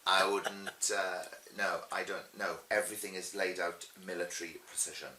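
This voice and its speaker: A middle-aged man with a Welsh accent, chatting casually as an interviewed stage performer and telling stories in a lively, chatty way. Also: low-pitched, moderate pace. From Swansea, Wales. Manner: chatty, animated retelling with acted-out quotes. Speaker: pantomime dame and cabaret performer being interviewed. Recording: roomy echo with faint hiss.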